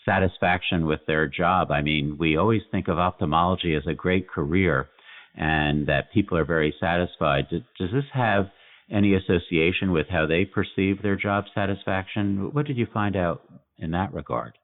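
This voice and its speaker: A man's voice: low voice, normal speed. A man hosting a medical podcast, asking courteous, probing questions with small restarts. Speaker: podcast host, physician. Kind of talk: interview questions. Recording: tinny phone-like line.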